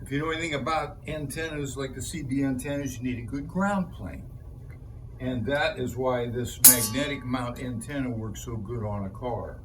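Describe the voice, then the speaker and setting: A man's voice: mid-range voice, moderate pace, music in the background, low-quality recording. A man hosting a hands-on repair demonstration, talking casually to viewers in a folksy way as he thinks aloud. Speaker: host of a DIY electronics repair video.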